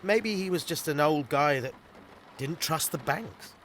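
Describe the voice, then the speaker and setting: A man's voice: fairly high pitch, lively intonation, a little slow, fairly bright tone, slight background noise. A man with a British accent playing a pragmatic, cynical council worker in an audio drama, talking in a casual, blunt, colloquial way. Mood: tentative, conspiratorial. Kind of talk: naturalistic audio drama acting.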